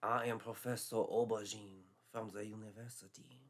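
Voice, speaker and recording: A man's voice: on the low side, little pitch movement, normal speed, a bit bright. A man voicing a disdainful French male professor with a French accent. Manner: disdainful, smooth.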